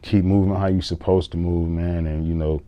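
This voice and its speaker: A man's voice: low-pitched, flat delivery, normal speed, dark tone, dull audio. A man hosting a show, talking through a rambling motivational monologue and repeating "you know what I'm saying.". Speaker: show host reacting to a post. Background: music.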